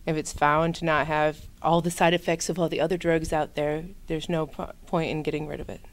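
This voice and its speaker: A young woman with a notably low voice answers questions casually. She talks like a student in an informal interview, into a handheld microphone in an indoor room. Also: flat delivery, a little fast, slight background noise. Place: indoor room with brick wall. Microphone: handheld microphone held out by interviewer.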